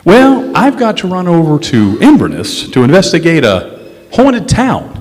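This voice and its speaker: A wry male investigator , played by a stage actor, narrates his case like a 1950s radio detective. His fairly high voice swings widely in pitch, heard in a reverberant hall with music underneath. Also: wildly swooping pitch, a little slow, a little mellow. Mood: casual, hesitant. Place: stage in a historic courthouse hall.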